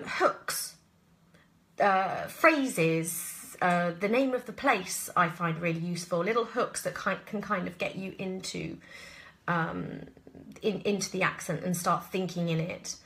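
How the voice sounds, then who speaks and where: A woman's voice: on the low side, slow, a bit bright. A woman with an English accent, an actress casually answering audience questions in a live chat, thinking aloud and often hesitating. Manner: hesitant, thinking aloud, frequent throat-clearing.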